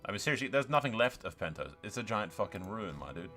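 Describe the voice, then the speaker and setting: A man's voice: on the low side, big pitch swings, fast talker, pushed and bright, soft background music. A man giving casual, sweary gameplay commentary as a gaming YouTuber, chatting to his viewers in a blokey way.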